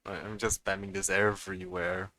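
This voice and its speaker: Low-voiced, very bright male game streamer. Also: level tone, normal speed, no room sound. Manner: unscripted, muttering to himself, swearing freely. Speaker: live streamer playing a video game. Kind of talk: casual live gaming commentary.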